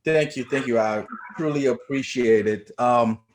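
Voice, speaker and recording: A man from Haiti, a chiropractor guest, telling his story in a relaxed way with many fillers. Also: medium pitch, a little slow, a little hiss or hum, rough recording. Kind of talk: casual interview.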